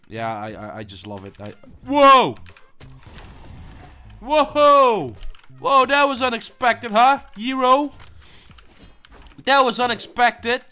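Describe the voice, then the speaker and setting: A young man giving casual gameplay commentary, stammering and swearing, swinging between low mumbles and excited yelling. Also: takes it slowly, pauses often, clear, resonant tone, faint music behind. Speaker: gaming channel host.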